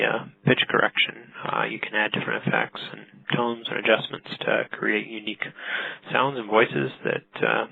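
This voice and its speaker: A man narrates a product tutorial in a casual, halting way. His voice is low and raspy with a creaky edge. It comes through a narrow, phone-like line. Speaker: product demonstrator.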